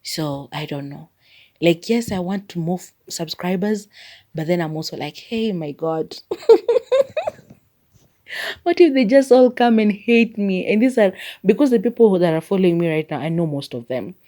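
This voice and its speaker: A woman's voice: lowish voice, lively intonation, normal speed, dark tone. A woman with a Kenyan accent, whose first language is Maragoli, rambling in a chatty way through a casual solo podcast as its host. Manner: chatty and rambling, leans on "like" as filler.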